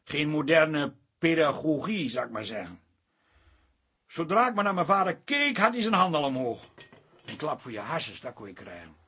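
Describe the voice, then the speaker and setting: An actor playing a bitter farmer's son from Twente in a radio play, sardonic and halting as he talks. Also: fairly high pitch, big pitch swings, pushed and bright, breathy edge, heavily acted. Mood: wounded, resentful.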